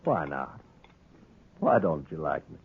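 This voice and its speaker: An actor playing a sly, brash saloon-owning gambler who needles others in a Western American accent, in a 1950s radio western. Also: male voice, rumbling low, big pitch swings, slow, husky, narrow, tinny audio, heavily acted. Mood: needling, defiant. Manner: cocky, needling, talkative. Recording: thin old radio broadcast recording.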